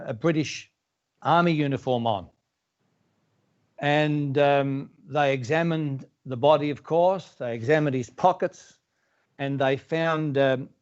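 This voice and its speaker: A man's voice: medium pitch, a little mellow, dull audio. A man with an Australian accent, from Melbourne, preaching as a pastor and telling a story, with frequent hesitations. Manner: storytelling, many uh fillers. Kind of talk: sermon.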